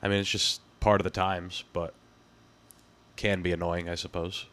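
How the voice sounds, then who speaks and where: A man's voice: low voice, level tone, moderate pace, fairly bright tone. A young adult man hosting a podcast, chatting in a laid-back way and laughing easily. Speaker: podcast host. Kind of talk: casual chat.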